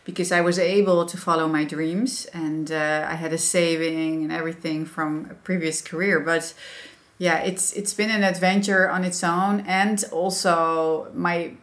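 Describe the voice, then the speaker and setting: A middle-aged Dutch woman with a Dutch accent, a podcast guest talking reflectively with halting restarts. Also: fairly low pitch, fairly even intonation. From Netherlands. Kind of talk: casual interview chat.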